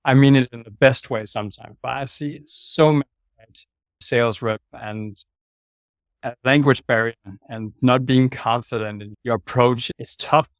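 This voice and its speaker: A man's voice: medium pitch, fairly even intonation, a little slow, no room sound. A man with a Danish accent, a sales manager giving direct, plain-spoken answers as an interview guest over a video call. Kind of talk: casual interview talk.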